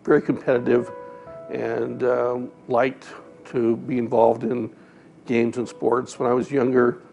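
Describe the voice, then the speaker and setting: Gravelly, flat-voiced man. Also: medium pitch, measured pace, highs cut off, faint music behind. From Utah, US. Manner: hesitant, repeats words. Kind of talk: reflective interview testimony.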